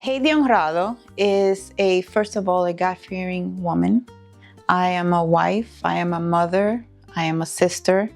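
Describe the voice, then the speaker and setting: A woman's voice: on the low side, measured pace, gravelly, faint music behind. A middle-aged woman from the Dominican Republic who grew up in the Bronx, telling her personal story as an interview guest. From Dominican Republic, raised in the Bronx from age eight.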